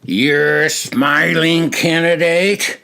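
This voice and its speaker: A man's voice: on the high side, steady tone, extremely slow, gravelly, sharp tone, clear, resonant tone, voice pushed up, heavily acted. A man voicing a short, stocky, red-faced senior candidate who roars into a recruit's face. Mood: roaring. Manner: roaring, blaring.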